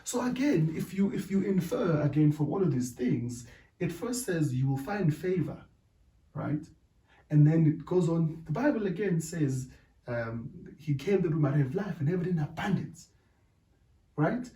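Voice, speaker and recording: A middle-aged South African man whose first language is Zulu. He talks in a deliberate way in a casual discussion and keeps checking in with "right?". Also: fairly high pitch, animated, mellow. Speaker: podcast co-host. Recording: echoey room, distant mic.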